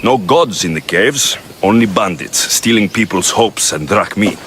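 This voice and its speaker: A man's voice: fairly low pitch, lively intonation, unhurried, background noise. An actor playing a cocky young male Greek mercenary in a video game, talking in a dry, laconic way. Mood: wry, reassuring. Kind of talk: video game cutscene acting.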